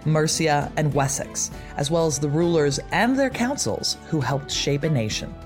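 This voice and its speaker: A woman's voice: sits low, expressive, normal speed, soft background music. A woman podcast host reading scripted promo narration.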